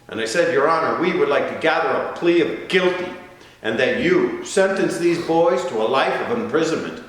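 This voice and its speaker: Man playing a slow, impassioned old defense lawyer, echoey room. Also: on the low side. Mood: grave. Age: older. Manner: oratorical, impassioned, with dramatic pauses and swells. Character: veteran male defense lawyer pleading passionately for young clients' lives. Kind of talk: theatrical courtroom monologue. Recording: very echoey room, faint noise.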